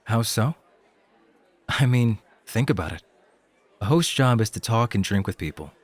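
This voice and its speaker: An actor playing a nervous, earnest rookie club host who talks casually and gets flustered easily in a scripted audio roleplay. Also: male voice, fairly low pitch, animated, normal speed, a faint crowd. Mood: awkward, earnest. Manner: casual, stammering, self-conscious.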